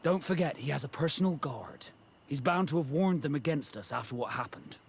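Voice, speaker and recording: An actor playing a wary, plain-spoken adventuring companion in a video game, with a husky voice. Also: male voice, on the high side, animated, brisk, a little hiss or hum. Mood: wary, pragmatic. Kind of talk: video game cutscene acting.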